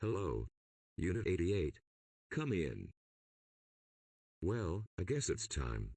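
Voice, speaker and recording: A man playing a steady, grimly resolute military commander in a radio drama. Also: sits low, slow pace, dry recording, heavily acted. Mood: grave concern.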